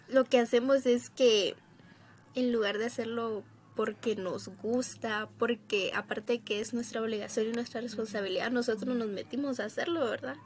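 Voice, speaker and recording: Bubbly young Guatemalan woman. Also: fairly high pitch. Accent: Guatemalan Spanish. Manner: bubbly, quick to laugh. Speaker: podcast co-host.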